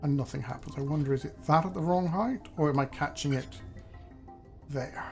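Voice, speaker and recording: A slightly hoarse man, thinking aloud in chatty, self-deprecating gameplay commentary as host. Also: a little high, brisk, faint music behind. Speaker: let's play host.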